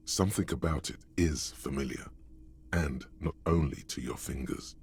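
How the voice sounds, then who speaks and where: The deep, husky inner narrator voice of a game. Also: male voice, normal speed, a little mellow, full character voice. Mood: wry. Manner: wry and goading. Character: the game's inner voice, a smooth male narrator goading the player.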